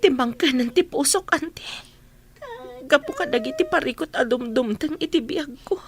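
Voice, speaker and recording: A woman's voice: sits high, animated, normal speed. A woman playing a burdened, sorrowful young eldest daughter in a radio drama, with a youthful, plaintive and earnest delivery. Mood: grieving, bitter.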